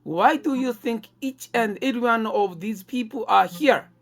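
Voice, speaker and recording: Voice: mid-range voice, normal speed, voice pushed up, lightly acted. A performer playing an angry, accusing cult superior in a radio drama, firing stern rhetorical questions.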